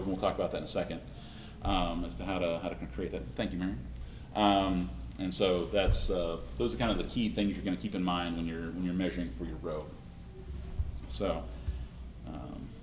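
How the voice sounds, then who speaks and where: A man presenting a live costume-making demonstration at a convention panel, talking in a halting way with many restarts, his voice slightly hoarse. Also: sits low, a little hiss or hum. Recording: echoey room, mic far off.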